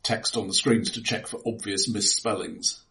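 A man discussing films casually and analytically, in a measured, considered way with halting pauses. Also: on the low side, fairly even intonation, pushed and bright. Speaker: film podcast host.

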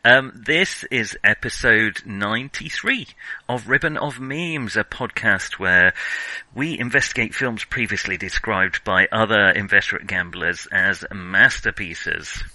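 A man's voice: lowish voice, unhurried, bright, forceful tone, dry recording, rough recording. A man hosting a podcast, chatting casually about films in a wry, self-deprecating way, often hesitant and rambling. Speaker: podcast host. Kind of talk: casual film discussion.

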